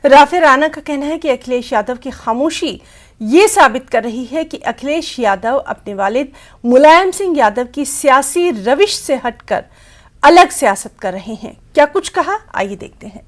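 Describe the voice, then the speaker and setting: Woman, news anchor, high pitch, scripted narration, formal measured delivery. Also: lively intonation.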